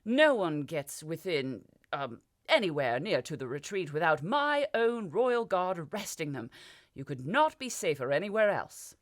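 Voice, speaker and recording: A woman voicing a petulant, childish young king who breaks into shrill, panicky outbursts. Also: sing-song, unhurried. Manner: shrill, whiny, hysterical outbursts.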